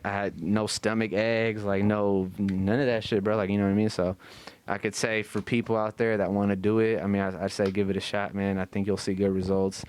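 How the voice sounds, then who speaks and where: A man with a low, clean and smooth voice chats on a podcast. His speech is loose and slangy, with casual swearing and constant checking that the listener follows. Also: a little mellow. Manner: slangy, profane, frequent "you know what I mean". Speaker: podcast co-host.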